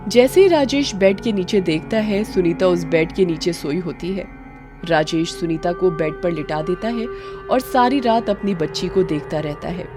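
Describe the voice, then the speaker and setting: A woman's voice: fairly low pitch, moderate pace. A woman narrating a scripted horror tale in storybook style. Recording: music behind.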